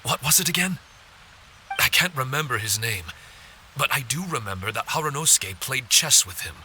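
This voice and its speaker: A man's voice: mid-range voice, expressive, sharp tone, a little hiss or hum. A man voicing an earnest, forgetful male puppet guardian who muses aloud while trying to recall things. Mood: puzzled. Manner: ponders aloud, halting recall. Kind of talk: game cutscene voice acting.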